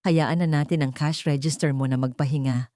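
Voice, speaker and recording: A woman's voice: low voice, steady tone, a little fast, warm tone, no room sound, clean studio sound, lightly acted. A woman voicing a smug, mocking mistress in a Tagalog audio drama story. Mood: mocking, flirty.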